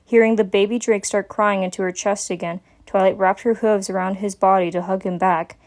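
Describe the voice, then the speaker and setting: A woman's voice: medium pitch, fairly even intonation, brisk, a little mellow. A woman narrator reading a story aloud in a dramatic, scripted storytelling style. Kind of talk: dramatic fan fiction reading.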